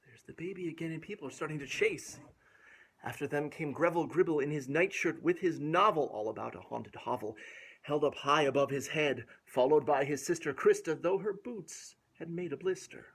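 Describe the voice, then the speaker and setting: A man with a fairly high, slightly husky voice reads aloud as a children's storyteller. He delivers rhyming picture-book text playfully and expressively, leaning dramatically on the sounds of the words for his young listeners. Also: normal speed, fairly bright tone.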